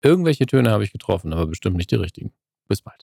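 A man's voice: on the low side, very animated, fast talker, gravelly, warm tone, husky, dry recording. A man co-hosting a podcast, talking in a dry, self-mocking way. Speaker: podcast co-host. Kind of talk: casual podcast chat and recorded spoken insert.

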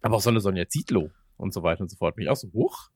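A man's voice: low voice, racing, husky, no room sound, clean studio sound. A man chatting casually as a podcast host, rambling along with ironic jokes. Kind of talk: casual chat.

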